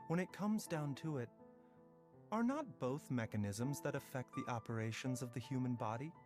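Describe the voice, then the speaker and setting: A man playing a gentle, philosophical young doctor in a video game, with measured, articulate and reflective delivery. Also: mid-range voice, close to an everyday voice. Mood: calm, persuasive. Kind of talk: scripted video game voice acting. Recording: music playing behind the voice.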